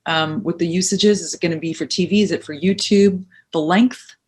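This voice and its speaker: A woman's voice: on the low side, brisk, darkish tone, slightly rough. Woman voice coach giving practical advice. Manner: practical, emphatic, uses second person advice. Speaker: voice-over coach and actress. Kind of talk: interview answers, advisory.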